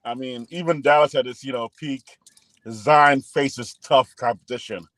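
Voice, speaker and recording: A man's voice: mid-range voice, unhurried, fairly bright tone, no room sound. A man co-hosting a podcast, rambling and joking through a casual sports debate over a call and talking over others. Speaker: podcast co-host.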